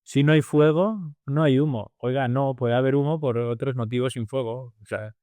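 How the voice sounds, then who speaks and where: A man at an ordinary pitch talks through ideas in a relaxed podcast chat. He builds each point from homely examples and often stops to restart a phrase. The sound is close and dry. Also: normal speed, dry recording. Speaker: podcast co-host. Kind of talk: casual conversational podcast.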